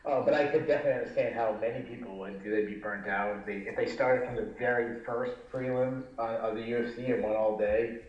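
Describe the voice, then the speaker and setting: A man's voice: mid-range voice, steady tone, brisk, hardly any pauses. A man with a Rhode Island accent talks casually as a podcast guest, rambling with fillers and restarting his sentences. His voice bounces around an echoey room. The sound is dull and lo-fi, with little top end. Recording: echoey, dull lo-fi remote audio.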